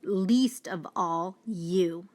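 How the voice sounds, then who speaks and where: Woman playing a proud, slow-spoken storybook lion. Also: lowish voice, darkish tone. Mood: despairing, dismissive. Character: proud, boastful young male lion, grows into king.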